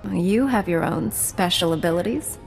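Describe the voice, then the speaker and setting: An actress playing a young Jedi knight heroine in a video game, speaking in a composed, measured, dryly teasing way. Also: female voice, lowish voice, gravelly, darkish tone. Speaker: video game player character. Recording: long hall reverb, game music underneath.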